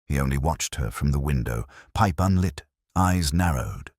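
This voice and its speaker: Very deep-voiced man narrating a story. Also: animated, moderate pace, a little mellow, no room sound, clean studio sound. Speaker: audiobook narrator.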